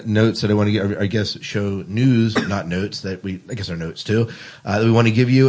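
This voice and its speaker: A man's voice: mid-range voice, a little mellow, slightly rough. A man hosting a podcast in a casual, informal, chatty way. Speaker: podcast host.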